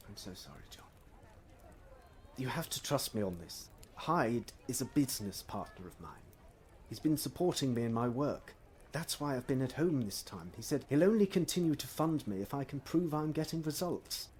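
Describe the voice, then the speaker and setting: An actor playing an overworked, secretive Victorian gentleman doctor, weary and guarded, in a stage drama. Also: mid-range voice, animated, normal speed, a little hiss or hum, lightly acted. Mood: apologetic. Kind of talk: period stage drama acting.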